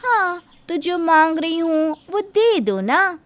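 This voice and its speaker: A woman's voice: very high-pitched, sing-song, slow pace, fairly bright tone, full character voice. A woman playing a scheming, whining and threatening female relative in a dramatic moral story. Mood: angry. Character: scheming, shrill mother of the bride and greedy threatening daughter. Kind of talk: animated moral-story dubbing.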